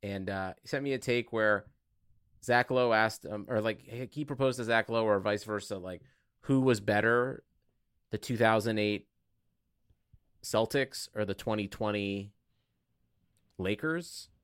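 A man's voice: lowish voice, unhurried, pauses often, a bit bright, smooth, no room sound, clean studio sound. A man co-hosting a podcast in casual sports banter, sarcastic and given to swearing. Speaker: podcast co-host.

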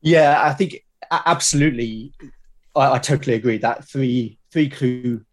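A British man talking casually as an interview guest, explaining things haltingly and often repeating his words. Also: mid-range voice. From Britain. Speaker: podcast guest, game master and podcast creator. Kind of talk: casual conversational interview talk.

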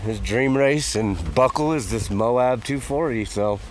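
A man, a race pacer who has just run a hundred miles, giving a casual interview outdoors; he speaks in a laid-back, halting way with many fillers. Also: mid-range voice, slight background noise. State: weary after running a hundred miles. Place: outdoors at an ultramarathon aid station. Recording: outdoor recording with a crowd behind.

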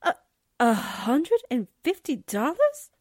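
An actress playing a breezy, playful young gal with slangy talk in 1940s-style radio drama. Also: female voice, high-pitched, huge pitch swings, takes it slowly, darkish tone, dry recording, studio quality, full character voice. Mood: curious, wary. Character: breezy, man-hungry young gal, reporter's chummy sidekick.

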